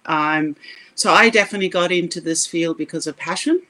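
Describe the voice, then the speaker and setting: A middle-aged woman with a South African accent talks as a guest scientist in a podcast interview. Her voice is bright and clear-edged. She speaks earnestly, with emphatic, passionate conviction. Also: fairly low pitch, brisk, slight background noise, low-quality recording.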